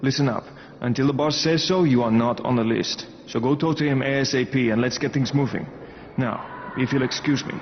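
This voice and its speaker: A man's voice: mid-range voice, fairly quick, lightly acted. A man acting an officious shelter staffer in a video game, businesslike and dismissive. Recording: muffled, long hall reverb, faint noise.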